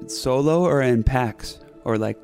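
A man with a gravelly, fry-edged voice co-hosts a casual podcast, teasing and pitching silly questions. Faint music plays underneath. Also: unhurried, a little mellow. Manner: playful, goofy questioning. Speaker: podcast co-host. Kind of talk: casual chat.

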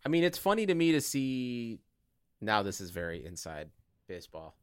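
A man's voice: mid-range voice, big pitch swings, moderate pace, clear, resonant tone, no room sound. An American man co-hosting a podcast, chatting in an informal, teasing, sweary style. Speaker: podcast co-host. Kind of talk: casual comedic chat.

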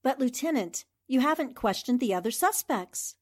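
A woman playing a sharp, persistent but polite newspaper reporter in an old-time radio drama, articulate and questioning. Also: high-pitched, lively intonation, normal speed. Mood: challenging.

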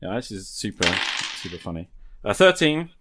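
Low-voiced man chatting casually. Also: sing-song, normal speed, heavy background noise. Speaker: podcast host and player. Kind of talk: casual off-the-cuff chat.

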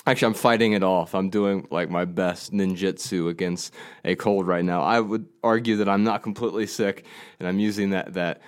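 A man's voice: sits low, fairly even intonation, moderate pace, clean tone. A man in his thirties co-hosting a podcast in casual chat, his voice a little nasal from a cold, rambling with restarts and fillers. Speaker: podcast co-host.

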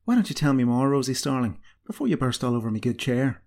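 A man's voice: mid-range voice, big pitch swings, fast, creaky, darkish tone, pitched above the performer's own voice. A man voicing an old female healer, a wry and stern but tender mistress with a dry, teasing, commanding way of talking. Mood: dry, stern affection. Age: older.

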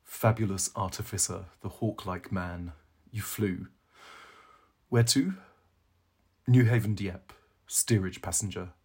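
A man reading a brooding, ironic young intellectual from a novel, musing in clipped, declamatory phrases with a husky voice. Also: sits low, takes it slowly, pauses often, a little mellow, full character voice.

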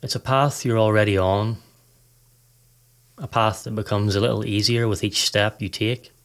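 A man narrating a scripted guided meditation as a podcast host, in a measured, instructional reading manner. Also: lowish voice, fairly even intonation, a bit bright.

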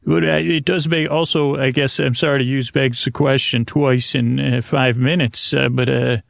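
Male sleep-podcast host, gravelly and halting, wandering through whimsical tangents in a dry, close recording. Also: mid-range voice, steady tone, no echo. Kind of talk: rambling, improvised bedtime podcast monologue.

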